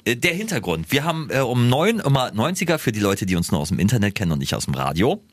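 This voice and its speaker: A male radio host chatting excitedly, often stumbling and restarting his sentences. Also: mid-range voice, fast talker, no echo. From northern Germany. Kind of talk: casual chat. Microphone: handheld mic, seated.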